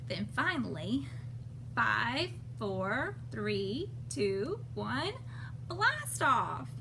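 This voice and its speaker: High, animated woman reading a children's story. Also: extremely slow, vocal fry, background noise. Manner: expressive, asks listeners simple questions. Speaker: children's story time host reading aloud. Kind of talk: picture-book read-aloud with asides to young listeners.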